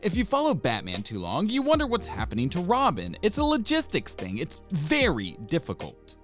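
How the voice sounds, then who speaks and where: Man voicing a plain-spoken male producer in a quoted interview, highly varied pitch, faint music behind. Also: wildly swooping pitch, normal speed. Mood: matter-of-fact, candid.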